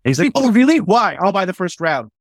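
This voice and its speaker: A man's voice: high voice, big pitch swings, fast talker, no echo, clean studio sound. A man speaking in an enthusiastic, conversational way, swearing casually and often restarting his sentences. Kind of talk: conversational podcast discussion.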